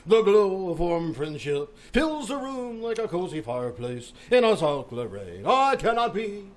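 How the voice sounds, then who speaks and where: A man's voice: high-pitched, sing-song, measured pace. An actor playing a flamboyant, caped Frenchman, effusive and florid, in an old-time radio play. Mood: gushing, mock-offended. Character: flamboyant caped Frenchman, a detective's overplayed disguise.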